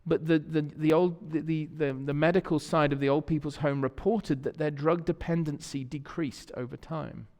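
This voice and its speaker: A man's voice: on the high side, fairly even intonation, moderate pace, few pauses. A man preaching a conversational sermon as a pastor, telling stories and often repeating words while he searches for the next one. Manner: warm storytelling, repeats words when searching. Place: church sanctuary. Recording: church hall reverb.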